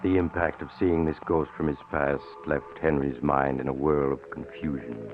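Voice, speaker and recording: An actor playing the ominous storyteller of an old radio mystery series, delivering scripted suspense narration. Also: male voice, a bass voice, steady tone, moderate pace. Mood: grave suspense. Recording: tinny old radio recording. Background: prominent music.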